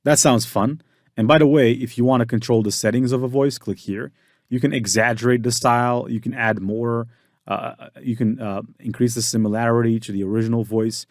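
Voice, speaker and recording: A man giving a casual software tutorial, explaining step by step with frequent fillers and restarts. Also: mid-range voice, fairly even intonation, fairly quick, dry recording, studio quality. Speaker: tutorial instructor.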